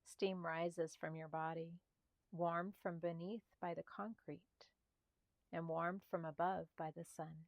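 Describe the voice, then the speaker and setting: A woman guiding a meditation in a soft, slightly breathy voice, speaking calmly and soothingly in short, spaced phrases. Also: on the low side, measured pace, dry recording. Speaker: meditation guide. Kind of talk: guided meditation narration.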